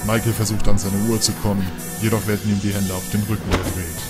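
A male narrator reads an audio drama script. His voice is on the low side, and music plays clearly behind it. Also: level tone, fast talker.

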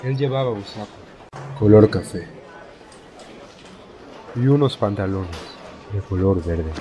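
A man's voice: fairly low pitch, animated, slow, warm tone, slight background noise. A man with a Latin American accent playing an anxious, guilt-ridden father in a video game dub. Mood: anxious, subdued. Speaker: fan dub voice actor.

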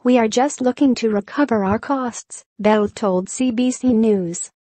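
A woman's voice: a little high, a little slow, mellow, no room sound. A woman reading a scripted news article in an impersonal, neutral way, with a synthetic text-to-speech sound.

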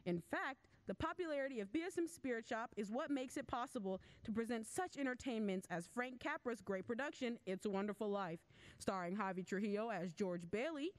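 A woman's voice: fairly high pitch, animated, a little fast, lightly acted. A woman reading scripted announcer narration for an old-fashioned radio play. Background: loud music.

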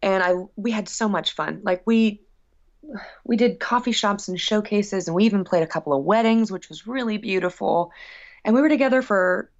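A woman speaking as a musician guest in a casual interview, telling stories in an effusive, animated way. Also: medium pitch, moderate pace, dull audio.

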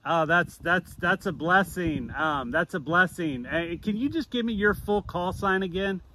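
Male ham radio hobbyist, 40s, American, fairly high voice, casual. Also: measured pace, pushed and bright, a little hiss or hum. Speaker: novice amateur radio operator, vlogger. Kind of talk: casual chat.

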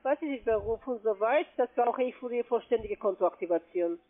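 A woman's voice: a little high, lively intonation, brisk. Insistent non-native woman on muffled phone. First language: not German. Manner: insistent, broken grammar. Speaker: scam call centre agent. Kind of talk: cold-call sales pitch. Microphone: telephone. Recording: muffled narrowband phone line.

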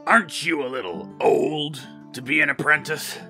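An actor playing a gruff, hard-of-hearing old tinkerer man in an audio drama, with a blunt, gravelly delivery. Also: on the high side, moderate pace, soft background music. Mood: loud, brusque. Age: older. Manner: shouting, blunt.

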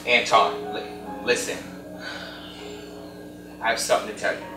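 An actor playing a solemn, gentle pastor in a gospel stage play. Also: male voice, on the low side, flat delivery, normal speed, lightly acted. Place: indoor stage in a furnished hall. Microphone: distant camera. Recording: distant echoey stage recording, loud music.

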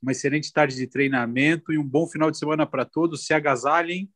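Office manager, male, brisk and encouraging, a bit high, dry close audio. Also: fairly even intonation, fairly bright tone, no echo. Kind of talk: closing remarks on a video call. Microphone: video call.

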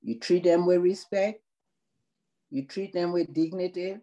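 A middle-aged woman with a Liberian accent talks slowly and earnestly over a video call. Her voice is dark and mellow. She takes her time over each thought, like someone sitting in a small room and telling her story. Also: lowish voice. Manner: slow, earnest, measured. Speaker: nursing assistant in long-term care.

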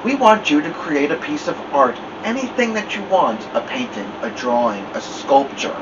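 A middle-aged man reads to children as a story time host. His voice is fairly high and very animated. He is recorded a little away from the microphone indoors. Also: moderate pace, muffled recording. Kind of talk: expressive storybook narration. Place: small furnished room indoors. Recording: distant mic, faint room noise.

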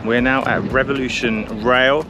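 A man's voice: mid-range voice, fairly even intonation, moderate pace, background noise. A man in his forties, chatty and upbeat, talking to a handheld camera outdoors at beaches, boats, streets and restaurants. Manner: upbeat and chatty, uses British words like "proper" and "reckon". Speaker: travel vlogger host. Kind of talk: casual travel vlog narration to camera. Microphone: handheld camera at arm's length. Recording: music playing loudly over the voice.

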